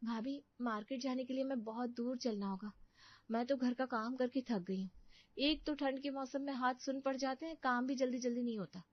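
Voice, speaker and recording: A woman's voice: high-pitched, steady tone, normal speed, dry recording. Woman acting polite, dutiful young daughter-in-law, dull sound. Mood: weary. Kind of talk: Hindi family cartoon story voice acting.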